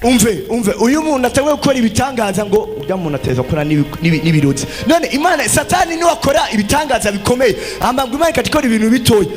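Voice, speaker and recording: A man's voice: very high voice, lively intonation, rapid-fire, few pauses. A man with a Burundian accent interpreting a sermon live, urgently and emphatically echoing the preacher phrase by phrase. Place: live church service. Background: music.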